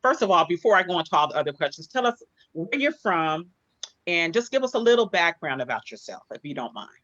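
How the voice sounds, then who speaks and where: A woman hosting an online interview show, warm and encouraging, who calls her guest "sis". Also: lowish voice, very animated, quick pace, no echo. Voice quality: speaks from personal experience of hair loss. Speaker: host. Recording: dry, muffled sound.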